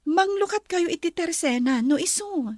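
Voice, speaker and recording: A woman's voice: very high-pitched, animated, normal speed, darkish tone, no room sound, studio quality. An actress with an Ilocano accent playing a kind, grateful woman of a wealthy household in an earnest drama. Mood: kind, insistent.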